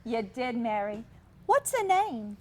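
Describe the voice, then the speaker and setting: An actress playing a reassuring, take-charge older hand at childbirth in a stage play. She coaches plainly, with a high voice that moves freely up and down. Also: very animated, slow pace. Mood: tender, moved. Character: reassuring, take-charge mother of six delivering a baby.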